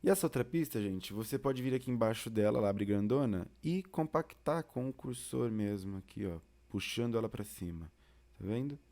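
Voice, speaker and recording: A man with a Brazilian accent giving an informal software tutorial, explaining casually as an instructor. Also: on the low side, animated, normal speed. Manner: casual, explanatory, uses diminutives.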